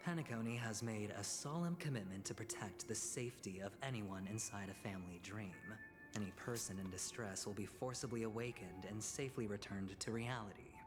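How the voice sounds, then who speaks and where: A man playing a sly, smooth-talking schemer in a video game, deliberate and insinuating in manner. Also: lowish voice, natural voice. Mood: ominous, insinuating. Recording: game audio with music underneath.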